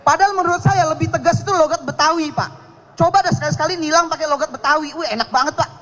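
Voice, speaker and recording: Cocky high-voiced young comic on stage. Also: male voice, quick pace, a bit bright. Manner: cocky and teasing, slips into regional voices. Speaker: stand-up comedy contestant. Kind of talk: stand-up comedy set. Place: stage at a live comedy contest. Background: audience laughter.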